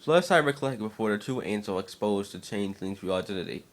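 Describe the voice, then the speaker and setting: A man with a fairly low voice serves as narrator. He gives a dramatic reading of fan fiction in a slightly halting delivery. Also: animated.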